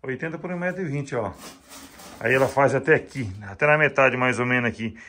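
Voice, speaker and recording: A man's voice: mid-range voice, brisk, sharp tone, slight background noise. A man chatting casually to viewers as a vlog host, in a folksy way full of little check-in tags. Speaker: vlog host filming a visit. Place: visit to a rural house and its yard.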